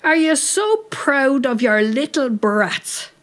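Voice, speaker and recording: Lady doing a crabby old irish woman from a storybook, indignant scolding, creaky voice. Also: mid-range voice, animated, slow. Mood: shouting, scolding.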